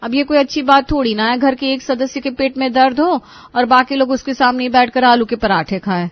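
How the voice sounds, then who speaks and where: A woman voicing a scheming, bossy, sharp-tongued wife in a family cartoon, scolding and sarcastic. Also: high-pitched, brisk, no room sound, dull audio, natural voice. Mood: sugary, feigned concern. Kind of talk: Hindi family cartoon voice acting.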